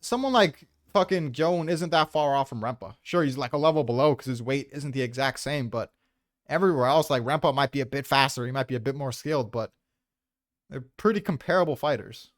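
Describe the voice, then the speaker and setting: Fast-talking man in a casual debate. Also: medium pitch, no echo, clean studio sound. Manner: argumentative, casually profane. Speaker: debater on a manga ranking podcast.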